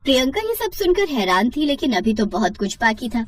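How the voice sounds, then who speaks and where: A woman's voice: on the high side, very animated, fairly quick, distant mic, a little hiss or hum. A woman narrating a scripted story in a dramatic storytelling style.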